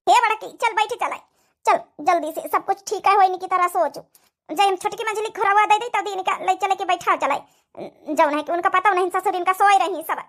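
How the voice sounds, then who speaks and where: A woman's voice: extremely high pitch, normal speed, a bit bright. A woman voicing dramatic, exclamatory village in-laws in a cartoon comedy, with an Awadhi accent. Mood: anxious, urging. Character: scheming young daughters-in-law and fretting mother-in-law, village family.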